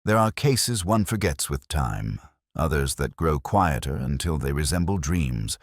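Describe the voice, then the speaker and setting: A man playing a weary, melancholy older police detective, narrating his mystery case in measured, brooding, literary first person. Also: a bass voice, expressive, no echo, studio quality, exaggerated voice. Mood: melancholy, resigned.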